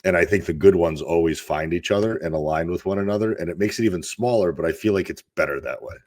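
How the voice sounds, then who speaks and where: Very deep-voiced man, candid storyteller. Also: fast talker, darkish tone. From Russia. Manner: candid, rambling storyteller with restarts. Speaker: podcast guest, fitness coach. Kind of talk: casual interview talk.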